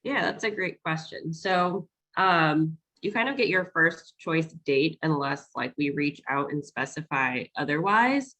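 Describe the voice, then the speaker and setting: A woman's voice: sits low, expressive, measured pace, few pauses, pushed and bright. A woman hosting a virtual town hall on a video call, explaining onboarding steps in a friendly, casual way with many ums. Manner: friendly, filler-heavy explaining. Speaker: onboarding staff host.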